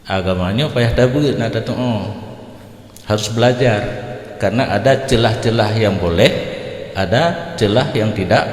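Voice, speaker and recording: A man's voice: on the low side, normal speed, pauses often. An older man with an Acehnese accent, a native Acehnese speaker, teaching religion informally as he explains answers to questions. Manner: informal, explanatory, switches between languages. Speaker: Islamic scholar and teacher. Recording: echoey hall with long reverb.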